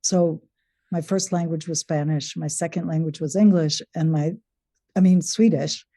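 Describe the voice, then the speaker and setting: A woman, a guest on a relationship podcast, speaking in a calm, reflective way. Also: low-pitched, normal speed, no room sound, clean studio sound. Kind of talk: reflective conversation.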